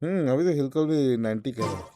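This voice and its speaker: A man's voice: medium pitch, lively intonation, rapid-fire. A man with an Indian accent chatting casually as a game streamer, informal and mixing in English words. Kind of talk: casual live stream chat with viewers. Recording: clearly noisy stream audio with clicks.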